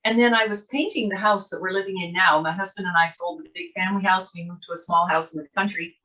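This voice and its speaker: A woman's voice: lowish voice, quick pace, bright, forceful tone, low-quality recording. An older woman from Canada, a guest author, chatting in an interview and telling her life story in a rambling way with asides, over a video call. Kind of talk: casual interview chat.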